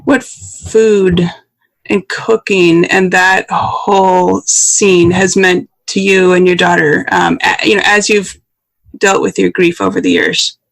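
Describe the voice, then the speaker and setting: A man hosting a podcast interview, asking gentle questions with halting fillers. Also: sits high, fairly even intonation, gravelly, rough recording. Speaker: podcast host.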